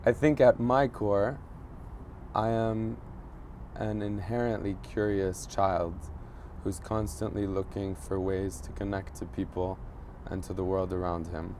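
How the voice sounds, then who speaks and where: A man in his thirties recounts a childhood memory with a fairly low voice. He speaks reflectively, pausing and reaching for words with small fillers. Faint street noise and passing vehicles sit behind him. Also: level tone, a little mellow. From Egypt. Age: 30s. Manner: thoughtful, searching for words, frequent fillers. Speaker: journalist guest. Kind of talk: reflective interview storytelling.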